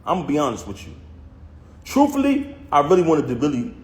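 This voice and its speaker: A man's voice: fairly high pitch, wildly swooping pitch, fairly quick. A man telling viewers a personal story in casual, heated first person, emphatic and full of street slang. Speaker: vlogger recounting a personal conflict. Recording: roomy echo.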